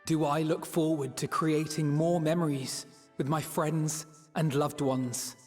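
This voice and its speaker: A man playing an earnest, eloquent young scholar in a video game, speaking in formal, measured, poetic phrases. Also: fairly high pitch, fairly even intonation, close to an everyday voice. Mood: solemn, hopeful. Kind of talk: scripted narration and dramatic dialogue. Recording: long reverb, music behind.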